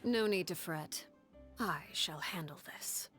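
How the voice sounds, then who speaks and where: An actress playing a cold, menacing woman who leads a crime family, speaking in a measured, formal, solemn way for a video game. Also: fairly low pitch, a bit bright, husky, exaggerated voice. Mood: composed. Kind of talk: scripted video game voice acting. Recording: game music behind the voice.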